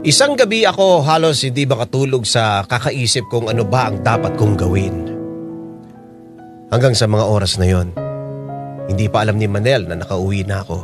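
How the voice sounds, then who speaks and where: Brooding male narrator over piano. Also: lowish voice. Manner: brooding, measured. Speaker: narrator as the father. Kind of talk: first-person drama narration.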